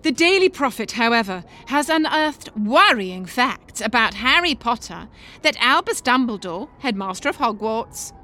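A gossipy female newspaper reporter, acted by a woman, high in pitch. She reads her sensational article with emphasis and insinuation, as in audiobook drama. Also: very animated, normal speed, sharp tone. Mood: insinuating. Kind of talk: dramatised reading of a tabloid article.

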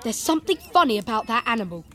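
A woman's voice: fairly high pitch, big pitch swings, fast, pitched above the performer's own voice. A woman voicing an indignant, hot-tempered teenage boy in an audiobook. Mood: sulky. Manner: shouting, furious.

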